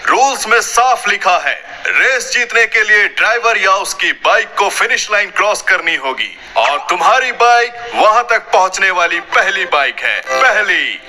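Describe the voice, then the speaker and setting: High, very bright cartoon race official. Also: male voice, sing-song, measured pace, few pauses, a little hiss or hum, heavily acted. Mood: matter-of-fact. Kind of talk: Hindi cartoon dub acting.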